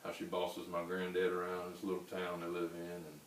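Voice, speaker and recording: Low-voiced baritone man, roomy distant sound. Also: monotone. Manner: unhurried, rambling storytelling. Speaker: singer-songwriter guest. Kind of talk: casual interview answers. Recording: roomy, distant mic with faint hiss.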